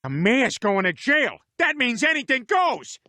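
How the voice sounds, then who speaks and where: A man acts out an excitable comedy guy with a high, bright voice. His pitch swings wildly through scripted TV banter. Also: measured pace, dry recording, full character voice. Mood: excited.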